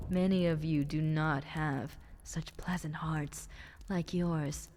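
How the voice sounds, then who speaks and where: A woman voicing a menacing, politely condescending female drider in an ASMR roleplay, speaking in a soft, breathy half-whisper. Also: lowish voice, slight background noise. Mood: calmly menacing.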